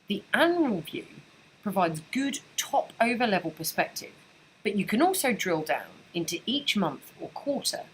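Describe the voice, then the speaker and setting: A woman narrating a scripted software training screencast in a clear, even instructional manner. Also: mid-range voice, a little hiss or hum, low-quality recording.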